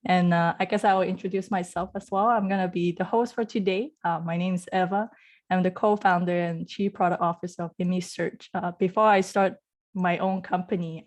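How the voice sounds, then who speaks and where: A woman's voice: on the low side, a little mellow. A woman from Hong Kong hosting an online group session over a video call, chatty and friendly. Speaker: host.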